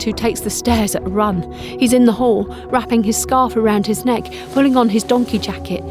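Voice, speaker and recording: A woman narrating a dramatic story as an audiobook, with an intimate, emotive delivery. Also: mid-range voice. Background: music.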